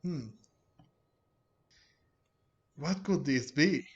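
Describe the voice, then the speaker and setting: Guy reacting to a game, slow and unsure, slightly high voice, music in back. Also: lively intonation, lo-fi sound. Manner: hesitant, puzzled, halting. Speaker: gaming video commentator. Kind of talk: casual improvised game commentary.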